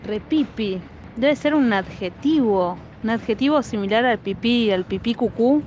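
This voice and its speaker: A woman's voice: fairly high pitch, measured pace, darkish tone. A woman with an Argentine accent, answering casually as an interviewee outdoors in the street. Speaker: vox pop interviewee. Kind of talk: casual chat. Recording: dull outdoor recording with traffic noise.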